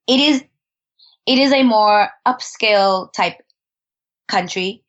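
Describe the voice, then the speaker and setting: A chatty woman guest on a podcast, talking loosely with lots of fillers and little laughs. Her voice sits at an everyday middle pitch. The audio is a bit dull and lo-fi. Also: slow, a bit bright. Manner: chatty, laughs often, many fillers and restarts. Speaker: interview guest, traveler. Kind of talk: casual conversational storytelling.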